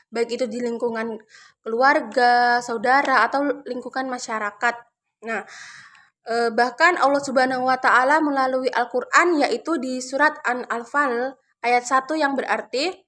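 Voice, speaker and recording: A young woman with a high voice delivers a short Islamic talk as a student speaker. Her delivery is conversational and somewhat halting, with words often repeated as she finds her way through the sermon. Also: a bit bright, a little hiss or hum. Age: young adult.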